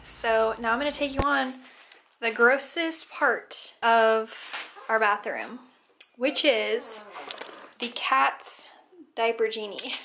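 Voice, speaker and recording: A woman's voice: on the high side, vocal fry, fairly bright tone, slight background noise. Chatty young woman vlogging from home. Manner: chatty and upbeat, with frequent "like". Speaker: lifestyle vlogger. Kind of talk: casual vlog narration while cleaning. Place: apartment kitchen and bathroom.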